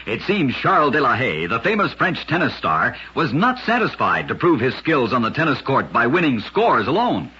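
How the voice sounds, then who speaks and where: Husky, lively radio narrator man. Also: medium pitch, moderate pace, few pauses. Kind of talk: scripted old-time radio storytelling narration. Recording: dull, lo-fi old radio recording.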